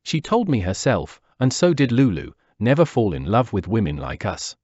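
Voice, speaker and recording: A man's voice: lowish voice, wildly swooping pitch, normal speed, a little mellow, no echo. A man, reading a wistful first-person memoir. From Peru. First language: Spanish. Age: 40s. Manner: wistful, reflective. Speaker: narrator. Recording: dull dry lo-fi recording.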